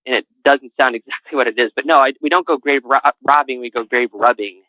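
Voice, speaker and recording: A man's voice: mid-range voice, steady tone, fast, no echo. Interview guest, man, faint New England accent, earnest and rambling, dry tinny line. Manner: rambling, self-correcting, earnest. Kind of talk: casual interview talk.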